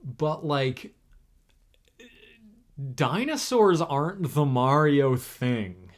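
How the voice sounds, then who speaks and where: A man's voice: a little high, animated, drawn-out words. A young man co-hosting a casual podcast chat. He rambles, trips over his words and restarts sentences often. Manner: rambling, full of fillers and restarts, chatty. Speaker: podcast co-host.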